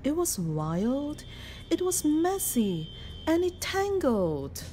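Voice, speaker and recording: A woman reading a children's picture book aloud in an expressive, deliberate storytelling manner. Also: sits high, soft background music. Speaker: storybook reader.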